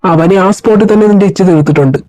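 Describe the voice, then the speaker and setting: Woman voicing a steady, firm male friend. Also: fairly low pitch, rapid-fire, no room sound, close to an everyday voice. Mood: comforting teasing. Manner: firm, calm, comforting. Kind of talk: amateur audio drama voice acting.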